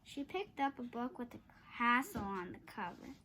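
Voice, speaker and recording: A woman reading a children's chapter book aloud, haltingly, stumbling over long words. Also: a little high, big pitch swings, pushed and bright, slight background noise, low-quality recording. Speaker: storybook reader.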